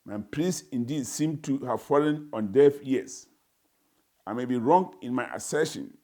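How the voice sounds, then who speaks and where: A man's voice: medium pitch, a little slow, mellow, close to an everyday voice. Indignant newborn for a dramatized story, wordy and pompous, Ghanaian accent, played by a man. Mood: pompous, aggrieved. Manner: formal, pompous, florid phrasing. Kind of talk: dramatized first-person reading.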